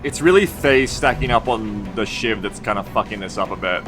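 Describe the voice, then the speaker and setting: A man's voice: fairly low pitch, normal speed. A man live streaming a video game, thinking aloud in a wry, rambling, sweary way. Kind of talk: casual live gameplay commentary. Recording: music and game sound behind the voice. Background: tactical video game audio and music.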